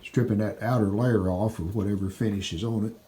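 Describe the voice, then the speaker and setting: Low, mellow-voiced man narrating hands-on work. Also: steady tone, brisk, a little hiss or hum. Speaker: host of a restoration channel. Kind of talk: casual hands-on workshop narration.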